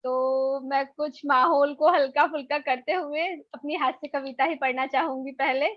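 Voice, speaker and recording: A woman's voice: sits high, fairly even intonation, a little slow, dry recording, lo-fi sound. A woman poet who cheerfully and modestly introduces her humorous poem, laughing now and then. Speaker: poet and co-host.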